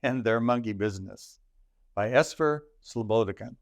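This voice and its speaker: A man reading a children's story aloud in deliberate, expressive phrases with dramatic pauses. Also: lowish voice, no echo. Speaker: storybook reader.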